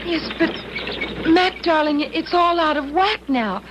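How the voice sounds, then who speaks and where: High, spirited radio-drama heroine. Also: female voice, takes it slowly, dull audio. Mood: thoughtful, concerned. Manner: expressive, wry, exclamatory. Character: spirited female history teacher traveling through time. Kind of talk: old-time radio drama acting. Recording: noisy old radio drama recording.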